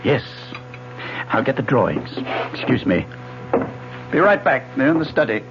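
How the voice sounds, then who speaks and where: An actor playing a smooth, condescending husband in an old radio drama, speaking in a knowing way. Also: male voice, medium pitch, big pitch swings, moderate pace, over music, natural voice. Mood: insistent. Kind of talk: 1970s radio drama acting. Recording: dull lo-fi old radio broadcast.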